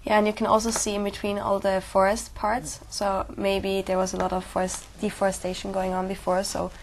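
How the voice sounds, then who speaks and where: A young woman taking a speaking exam, thinking aloud in a chatty flow. Her pitch stays fairly level and even, with a faint ambient hiss underneath. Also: brisk, low-quality recording. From Switzerland. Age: young adult. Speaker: exam candidate.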